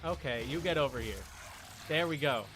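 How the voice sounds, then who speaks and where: A man giving casual, deadpan joking live commentary while playing a video game. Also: on the high side, normal speed. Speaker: game streamer. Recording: clear background noise.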